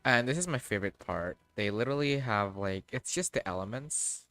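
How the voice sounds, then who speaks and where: A man giving casual, halting livestream commentary on a game, rambling and often trailing off mid-thought. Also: fairly low pitch, animated, a bit bright, no echo. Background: background music.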